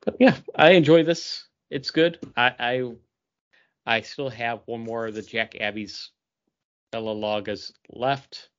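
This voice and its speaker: A man's voice: mid-range voice, steady tone, a little slow. A man co-hosting a podcast, chatting casually through reviews and often stopping to search for words. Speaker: podcast co-host. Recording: dull lo-fi sound.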